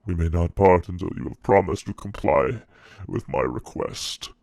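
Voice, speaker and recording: A man performing a dramatic monologue as a wretched, bitter monster pleading with his creator, solemn and theatrical with halting pauses. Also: deep voice, vocal fry, darkish tone, dry recording, studio quality. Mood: miserable, pleading.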